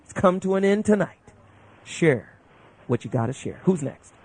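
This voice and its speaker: A man hosting a radio call-in show, taking callers in relaxed casual talk and thanking each one. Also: high voice, no echo, poor audio. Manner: laid-back, warm thanks to callers, quick laughs.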